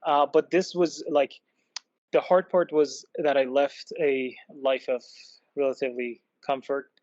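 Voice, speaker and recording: A man from Iran telling his own story as a podcast guest, in a halting, thoughtful way. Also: medium pitch, flat delivery, a little mellow, no echo. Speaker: podcast guest, product designer. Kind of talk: personal storytelling in an interview. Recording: dull lo-fi close dry sound.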